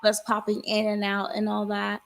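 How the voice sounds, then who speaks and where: A woman talking in a loose, casual way, with a gravelly, fry-heavy edge to her voice. Her sentences run on, full of "like" and restarts, and her pitch sits in a middle range. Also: monotone, moderate pace, a bit bright. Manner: rambling, lots of fillers and restarts. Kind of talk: casual chat.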